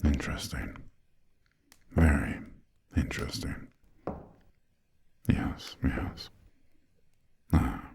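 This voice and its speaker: Man playing a slow, cavernously deep vampire lord. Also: darkish tone, a little hiss or hum, exaggerated voice. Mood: stern, intrigued. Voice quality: very deep, breathy, gravelly. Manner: slow, measured, formal, haughty, drawn-out pauses. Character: imperious centuries-old male vampire lord, courteous but condescending. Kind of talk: ASMR roleplay, soft close speech. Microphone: close, dry studio microphone.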